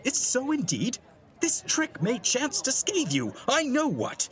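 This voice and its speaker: An actor playing an older, domineering, hot-tempered uncle in Shakespeare, imperious and blustering as master of the house. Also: male voice, very high voice, wildly swooping pitch, unhurried, fairly bright tone, slight background noise, exaggerated voice. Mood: angry scolding. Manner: blustering, imperious, swinging from scolding to jovial. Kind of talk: classical Shakespearean stage acting.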